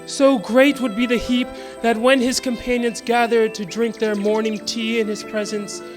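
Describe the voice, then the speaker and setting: A woman giving a scripted dramatic reading in a reverent, measured storytelling manner. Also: a little high, flat delivery. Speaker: dramatic reader of a historical account. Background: music and birdsong.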